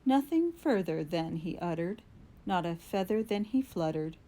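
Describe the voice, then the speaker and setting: A woman giving a dramatic, deliberate recitation of gothic poetry, with drawn-out phrasing and strong emphasis. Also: fairly low pitch, lively intonation, dark tone. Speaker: poetry reader.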